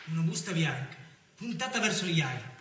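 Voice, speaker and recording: An actor playing an earnest investigator in a theatrical crime drama, questioning and deliberate. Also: male voice, on the high side, very animated. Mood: intent, puzzled. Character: earnest investigator puzzling over murder clues. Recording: echoey room, distant mic, faint noise.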